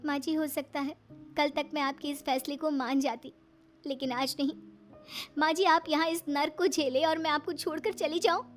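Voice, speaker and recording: A woman's voice: high-pitched, steady tone, normal speed, faint music behind, pitched above the performer's own voice, lightly acted. A woman voicing a young, educated daughter-in-law in a moral cartoon, emphatic and pleading as she stands her ground. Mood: earnest, resolute. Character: young educated daughter-in-law, upset yet defiant wife.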